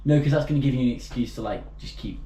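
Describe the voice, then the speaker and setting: A man's voice: mid-range voice, fast. A young man co-hosting a podcast, chatting casually and telling his own stories with lots of fillers. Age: young adult. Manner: lively, chatty, laughs often, lots of fillers. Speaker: podcast co-host. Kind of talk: casual chat. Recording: roomy with faint background noise.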